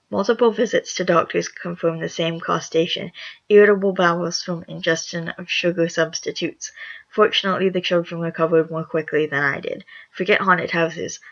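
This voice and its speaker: Raspy, gravelly host reading reviews aloud. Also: mid-range voice, fairly bright tone, dull audio. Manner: reads with small stumbles and self-corrections. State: hoarse after just waking up. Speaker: channel host.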